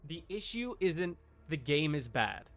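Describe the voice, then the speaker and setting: A man's voice: on the high side, very animated, measured pace, fairly bright tone, faint music behind. A man livestreaming a video game, chatting casually in an opinionated, emphatic, argumentative way. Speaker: streamer.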